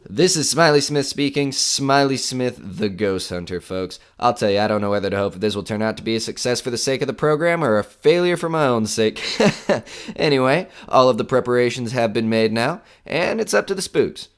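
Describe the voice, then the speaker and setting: A man's voice: medium pitch, expressive, a little fast, clean tone, natural voice. A man playing a chatty radio host who hunts ghosts, folksy and showman-like, with jittery bravado in an old-time broadcast style. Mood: excited showmanship. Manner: folksy, showman-like, nervously jokey. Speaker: radio host in an audio drama.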